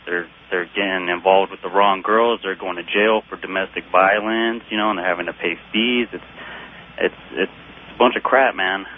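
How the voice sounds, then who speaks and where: A man in his early twenties calling a radio show, chatting casually in a halting, repetitive way. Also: sits low, fairly even intonation. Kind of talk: casual chat. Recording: dull, noisy call-in line on radio.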